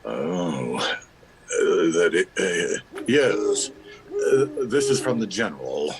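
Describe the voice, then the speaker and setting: A man's voice: deep voice, very animated, slow pace, crowd noise, full character voice, rough recording. An actor playing a pompous, fussy, hesitant father in a radio drama. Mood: flustered, melancholy.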